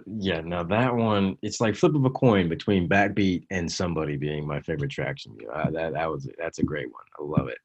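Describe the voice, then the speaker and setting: A man hosting a casual interview, friendly and quick to affirm his guest. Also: low voice, slightly rough, muffled recording. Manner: friendly, with frequent backchannel affirmations. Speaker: interview host.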